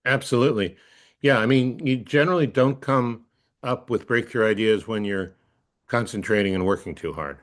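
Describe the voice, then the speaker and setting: A man answering as a coach in a conversational interview, thinking aloud in a thoughtful, halting way with repeated phrases. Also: medium pitch.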